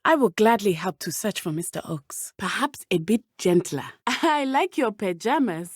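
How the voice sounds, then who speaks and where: A woman's voice: mid-range voice, expressive, moderate pace, breathy edge, no room sound, studio quality. A woman with a Zimbabwean accent voicing a brave, earnest teenage witch in a video game, speaking in formal, precise phrases. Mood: curious, warm. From southern Africa (Matabeleland). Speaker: student companion character in a video game.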